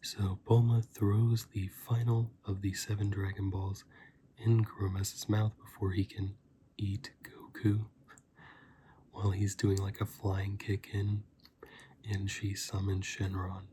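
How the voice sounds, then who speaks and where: A man speaks in a soft, breathy ASMR style, often slipping into a whisper. His delivery is even and very unhurried, with a dark, relaxed tone. He rambles casually like a reviewer chatting to viewers. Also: lowish voice, slight background noise. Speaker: host of an ASMR review channel.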